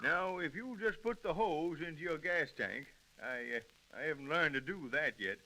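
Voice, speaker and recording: An actor playing a kindly, plucky old blind gas station owner in an old-time radio drama, with folksy, hesitant speech. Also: male voice, fairly high pitch, moderate pace, natural voice. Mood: patient, friendly. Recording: noisy, clicking old radio drama recording.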